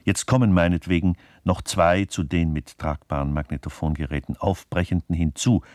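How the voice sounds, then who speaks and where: An actor playing a wry, eccentric playwright dictating stage directions in a deliberate, articulate radio play reading. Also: male voice, low-pitched, steady tone, a little mellow, dry recording, studio quality, close to an everyday voice. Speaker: speaker reading the author's part.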